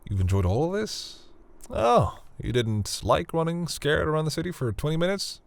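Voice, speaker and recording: A man playing a flustered, tender vampire boyfriend in an intimate audio roleplay, speaking haltingly with stammers and sighs. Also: on the high side, expressive, creaky, no room sound. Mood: playful, amused.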